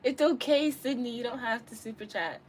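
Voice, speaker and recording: A woman's voice: a little high, fairly quick, a little mellow. A woman in her early twenties chatting casually to live-stream viewers in a candid, slangy, rambling way. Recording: clearly noisy room ambience.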